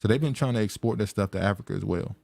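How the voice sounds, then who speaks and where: A man hosting a casual podcast chat, musing aloud with halting restarts and frequent laughs. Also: lowish voice, vocal fry, background noise. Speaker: podcast host.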